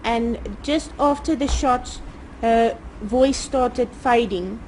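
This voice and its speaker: A woman with a South African accent interpreting testimony in court, in halting phrases. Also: on the high side, a little mellow, rough recording. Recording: courtroom recording with background noise.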